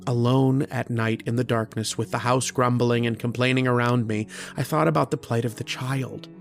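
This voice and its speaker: Man acting a gravelly, brooding, erudite lodger. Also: mid-range voice, steady tone, faint music behind, lightly acted. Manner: literate, deliberate, introspective, with hesitant starts. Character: lonely, erudite, brooding male mathematician lodger, earnest and uneasy. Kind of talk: old-time radio drama acting with first-person narration.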